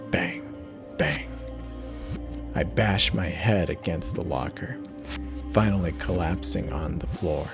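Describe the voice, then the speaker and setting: A man giving a theatrical, expressive dramatic reading of a first-person horror story, with dramatic pauses. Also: low-pitched. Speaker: narrator of horror stories.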